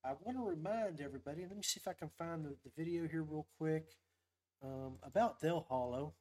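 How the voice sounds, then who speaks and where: A man's voice: fairly high pitch, brisk, a little mellow. A man hosting a casual fishing livestream, talking in a rambling, folksy, upbeat way. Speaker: podcast host.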